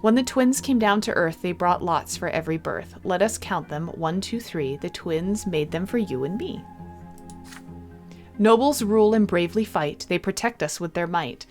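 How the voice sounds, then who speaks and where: A woman's voice: fairly low pitch, lively intonation, moderate pace, a little mellow, lightly acted. Woman as an earnest young big sister, singsong. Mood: solemn singsong. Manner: singsong, simple childlike explaining. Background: game music.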